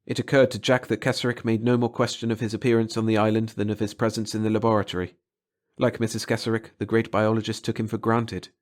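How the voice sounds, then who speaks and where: A man's voice: on the low side, flat delivery, fast talker. A man reading a story aloud as an audiobook narrator, in a dramatic scripted style.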